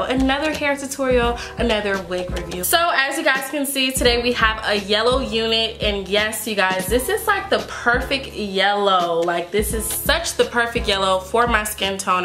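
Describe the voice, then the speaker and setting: A woman's voice: on the high side, sharp tone. A woman beauty vlogger with a stuffy, congested voice from a cold, chatting casually to viewers and filling her talk with "like" and "um". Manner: chatty, filler-heavy, addresses viewers as "you guys". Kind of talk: casual wig review chat. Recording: echoey room with background music.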